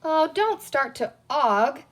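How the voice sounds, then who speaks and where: A woman's voice: high voice, very animated, slow pace, fairly bright tone, pitched above the performer's own voice, heavily acted. A woman voicing a small, comical green man in a children's story, boastful and theatrical, playing at gangsters and pirates. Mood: grumbling. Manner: theatrical, exclamatory.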